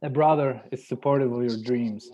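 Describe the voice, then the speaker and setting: A man's voice: mid-range voice, fairly even intonation, moderate pace, dark tone. A man making brief contributions to a group exercise, heard through muffled video call audio. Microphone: video call microphone.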